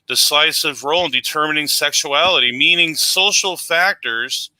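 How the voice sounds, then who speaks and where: A man's voice: on the high side, normal speed, sharp tone, poor audio. A man in an online debate, giving an opening statement and reading out research citations.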